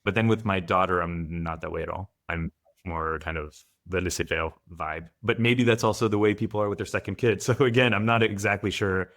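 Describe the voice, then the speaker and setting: A man's voice: low-pitched, a little fast, fairly bright tone. A man from France, a guest father, giving thoughtful, candid answers in a podcast interview.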